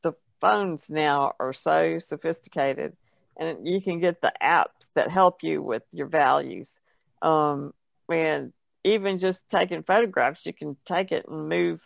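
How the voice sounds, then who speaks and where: A woman speaks casually on a podcast panel. Her voice is heavily creaky and gravelly, and it is recorded dry and close. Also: low voice, a little slow, no echo.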